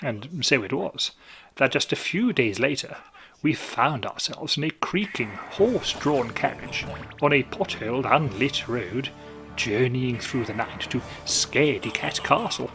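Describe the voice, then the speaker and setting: Gentleman narrator, theatrical and measured, over steady music. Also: mid-range voice, creaky. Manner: theatrical, genteel, measured storytelling. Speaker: storyteller host. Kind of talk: scripted narration. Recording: music behind.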